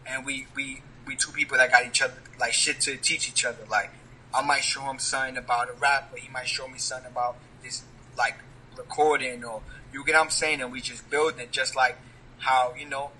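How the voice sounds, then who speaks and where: A man's voice: medium pitch, flat delivery, a little fast, pushed and bright, a little hiss or hum, low-quality recording. A man, a rapper talking casually in an interview, leaning on street slang and asking "you get what I'm saying?". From New York.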